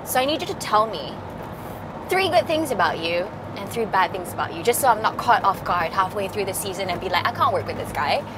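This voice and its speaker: A young woman co-hosting a casual interview, chatty and quick to laugh, recorded outdoors on a city street and in a car. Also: medium pitch, animated, music in the background, poor audio. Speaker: co-host. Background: traffic and street noise.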